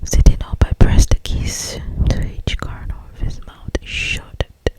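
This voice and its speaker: A woman reading a story for ASMR in a breathy, intimate, drawn-out whisper. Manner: slow, intimate, drawn-out delivery. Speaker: ASMR reader.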